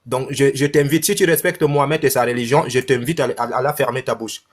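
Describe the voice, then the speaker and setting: A man's voice: mid-range voice, fairly even intonation, fairly quick. A man from Cameroon with a Cameroonian French accent, lecturing emphatically in a heated online debate and repeating his phrases.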